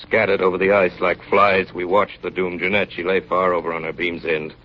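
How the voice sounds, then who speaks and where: An actor playing a grave chief engineer in solemn, measured 1930s radio drama style, recounting an Arctic disaster. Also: male voice, low-pitched, little pitch movement, lightly acted. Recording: muffled, noisy 1930s radio broadcast recording.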